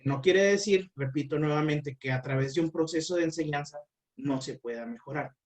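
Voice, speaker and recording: A man's voice: on the high side, fairly quick, far from the mic. A man explaining language learning as an interview guest, with many fillers and restarts.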